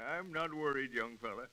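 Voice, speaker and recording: An actor playing a kindly, plucky old blind gas station owner in an old-time radio drama, with folksy, hesitant speech. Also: male voice, medium pitch, measured pace, bright, forceful tone. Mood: patient, friendly. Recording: noisy, clicking old radio drama recording.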